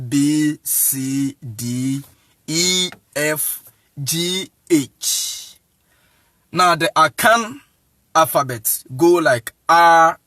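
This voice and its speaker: Slow-paced Ghanaian man, informal lecturer. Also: on the high side, a bit bright, no echo. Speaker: online evangelist and teacher.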